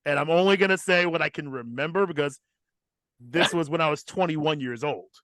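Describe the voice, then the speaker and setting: A man with a high-pitched voice tells a casual story as a podcast host. He swears freely and emphatically, with a comic storyteller's delivery. The sound is dry and close. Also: a little fast, fairly bright tone, no room sound.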